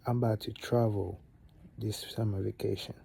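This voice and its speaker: A man's voice: on the low side, normal speed, creaky, breathy edge. A young man from Gabon, a native French speaker, giving a casual motivational talk to camera, rambling with fillers and restarts. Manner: rambling, many fillers and restarts, animated on key points. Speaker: vlogger.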